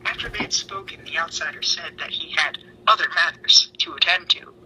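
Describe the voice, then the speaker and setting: A woman's voice: sits low, brisk, bright, forceful tone. A woman reading a story aloud in an amateur dramatic style, with sweary, self-mocking asides. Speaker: fan fiction reader. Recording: noisy home recording.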